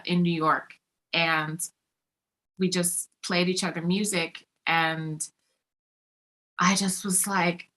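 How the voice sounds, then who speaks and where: A woman's voice: on the low side, bright, forceful tone. A woman songwriter hosting a conversation, telling stories with enthusiasm. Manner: enthusiastic, storytelling. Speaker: songwriter and host. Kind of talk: conversational interview.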